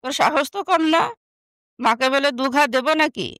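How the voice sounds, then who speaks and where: Woman playing a spiteful, high-voiced young sister. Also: moderate pace, fairly bright tone, dry recording, clean studio sound. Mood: scolding, threatening. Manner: scolding, scornful. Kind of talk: animated moral story dialogue.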